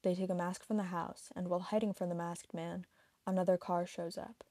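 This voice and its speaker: A woman's voice: fairly low pitch, flat delivery, fairly quick, warm tone, no room sound. A woman reading a scripted explainer narration for an online video.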